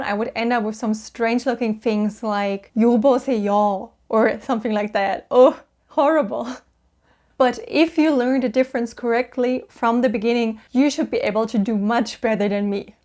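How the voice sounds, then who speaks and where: Young German woman, fairly high pitch, upbeat patient teacher of language basics. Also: a little mellow. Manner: cheerful, patient, drawn-out sounds for repetition. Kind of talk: explaining Korean vowels to learners.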